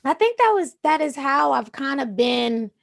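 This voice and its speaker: A woman DJ and streamer with a slightly raspy voice, talking in a calm, flowing way as a guest in a casual interview. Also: high voice, moderate pace. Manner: relaxed, flowing, says "you know what I'm saying" often.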